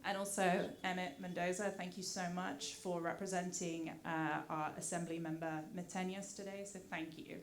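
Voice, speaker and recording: Woman, British-tinged accent, lowish voice, community affairs director at a podium mic, polite and scripted. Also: level tone, moderate pace, few pauses. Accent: British with some American mix. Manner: polite, thankful, with frequent "uh" fillers. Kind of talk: prepared public remarks at a community meeting. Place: community meeting hall.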